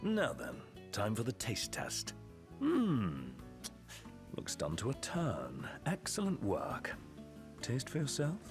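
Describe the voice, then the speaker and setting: A man's voice: mid-range voice, wildly swooping pitch, slow pace, music in the background. A man voicing a refined, wry young royal retainer in a video game, articulate and courtly with a British accent. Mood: gently wry. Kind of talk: video game cutscene voice acting.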